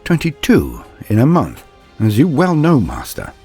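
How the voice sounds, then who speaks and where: A man playing an earnest, deferential young male apprentice, telling his story in a measured, confiding, introspective way. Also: medium pitch, huge pitch swings, darkish tone, husky, faint music behind, voice pushed up, heavily acted. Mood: respectful. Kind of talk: first-person dramatic storytelling.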